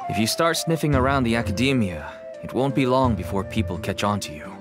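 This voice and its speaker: A man's voice: mid-range voice, expressive, brisk, music in the background, lightly acted. An actor voicing a worried, wary father in a video game, grudging and anxious. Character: worried, wary father caught up in shady dealings. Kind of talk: scripted video game dialogue.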